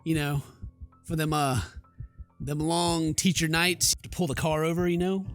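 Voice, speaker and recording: A man's voice: a little high, flat delivery, measured pace, soft background music. A man doing let's play commentary, reading game text aloud and tossing in joking, theatrical asides. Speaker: gaming YouTuber.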